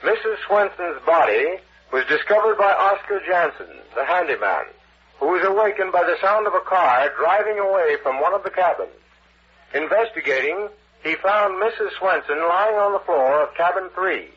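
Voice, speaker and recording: An actor playing a formal local radio newscaster with an American accent, reading a morning bulletin in measured 1940s style. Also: male voice, high-pitched, expressive. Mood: formal, grave. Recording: muffled old radio, husky broadcast sound.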